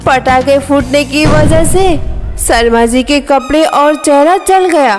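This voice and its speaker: A woman's voice: high-pitched, slow pace, over music. A woman giving scripted narration for a children's story.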